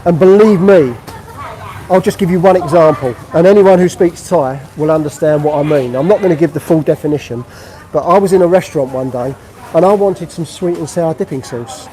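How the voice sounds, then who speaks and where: A man's voice: on the high side, a little fast, warm tone, poor audio. A middle-aged man from England, chatting casually on a live-stream walking tour of a street market. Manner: chatty, friendly, narrating while walking. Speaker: vlogger.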